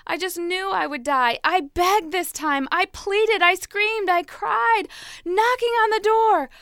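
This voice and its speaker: A woman's voice: extremely high pitch, takes it slowly, a bit bright, no room sound, studio quality, pitched above the performer's own voice, exaggerated voice. A woman voicing a fragile, dramatic talking teacup that pleads breathlessly as it tells its story. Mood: desperate.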